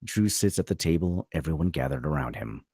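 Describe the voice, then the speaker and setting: A very low male voice reads screenplay directions with a husky, crackling edge and animated swings in pitch. The sound is dry and close, as a narrator would give it at a table read. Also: a little fast, no room sound. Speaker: narrator reading stage directions.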